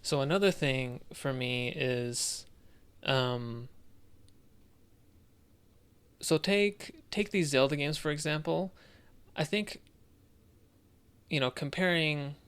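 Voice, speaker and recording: Man, medium pitch, crisp yet creaky, casual podcast co-host, halting, questioning. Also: fairly bright tone. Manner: halting, thinking aloud, posing questions. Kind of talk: casual discussion of video games.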